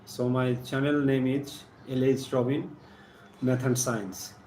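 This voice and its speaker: Slow-spoken Bangladeshi man in an echoey room. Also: mid-range voice, level tone, a little mellow. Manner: halting, deliberate, repeats phrases. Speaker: science teacher hosting a live stream. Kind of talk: live online chemistry lesson, partly read aloud. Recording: echoey room with faint background noise.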